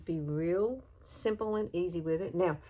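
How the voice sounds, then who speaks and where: Southern lady in her 60s, slightly low voice, folksy makeup chat recorded on her phone at home. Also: unhurried, warm tone. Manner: chatty, folksy, laughs often. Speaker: beauty vlogger. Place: bedroom at home. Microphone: phone camera, no external mic.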